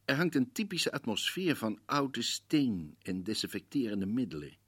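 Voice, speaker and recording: Stout scholar-detective in a radio play, measured and lively in pitch, dry studio. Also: male voice, breathy edge, no room sound. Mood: grave. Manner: grave, slow, telling a story to the listener. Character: portly older male professor-detective, combative. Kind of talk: 1950s radio drama narration.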